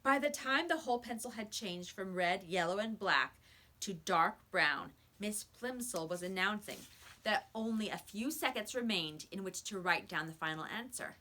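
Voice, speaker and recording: A woman reading a children's story aloud in expressive narration. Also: medium pitch, normal speed. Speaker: storybook reader.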